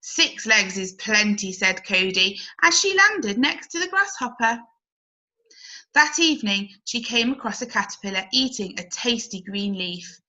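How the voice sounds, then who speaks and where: A woman storyteller reads to young children in an expressive, animated way. Her voice is fairly high and bright, with wide swings in pitch. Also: a little slow, muffled recording. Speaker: primary school storyteller.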